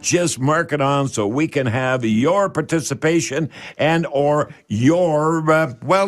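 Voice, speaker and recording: A man hosting a radio advice show on home repair, speaking in a halting, folksy way and repeating words for emphasis. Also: fairly high pitch.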